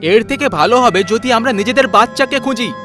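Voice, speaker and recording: A man's voice: very high voice, lively intonation, fairly quick, pushed and bright, faint music behind, pitched above the performer's own voice, exaggerated voice. A man voicing an impatient, sceptical young father who protests to his elders in a horror cartoon. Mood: exasperated, disbelieving. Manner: exasperated, protesting. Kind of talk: dramatic horror cartoon voice acting.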